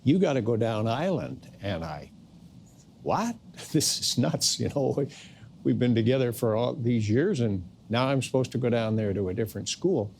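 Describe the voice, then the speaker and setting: A man's voice: medium pitch, normal speed, a little hiss or hum. Older man telling stories. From Canada, British Columbia coast. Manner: relaxed storyteller, casual asides. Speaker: interviewee. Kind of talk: documentary interview, storytelling.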